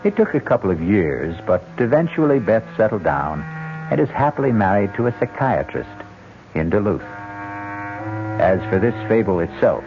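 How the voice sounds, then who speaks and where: A man hosting and narrating a 1970s radio mystery in a measured, wry way, on a tinny broadcast. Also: fairly low pitch, big pitch swings. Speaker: host narrator. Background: music.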